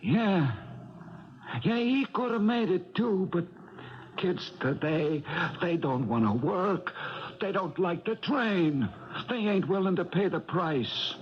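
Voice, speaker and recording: High-pitched, grumbling gym owner. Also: male voice, lively intonation, slow. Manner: streetwise, grumbling, ungrammatical speech. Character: grumbling, world-weary male boxing gym owner. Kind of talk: 1970s radio drama acting. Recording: dull vintage radio recording.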